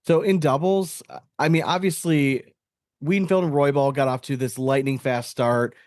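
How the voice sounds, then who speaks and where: A man's voice: fairly high pitch, steady tone, moderate pace, darkish tone, no echo, clean studio sound. A man co-hosting a podcast, talking casually and analytically about sport in a blunt, profane, slangy way. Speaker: podcast co-host.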